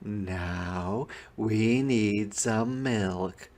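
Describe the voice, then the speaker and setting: A man reading a children's story, voicing a calm mother who gives patient, deliberate instructions. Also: fairly low pitch. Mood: patient, instructing. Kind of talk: children's read-aloud.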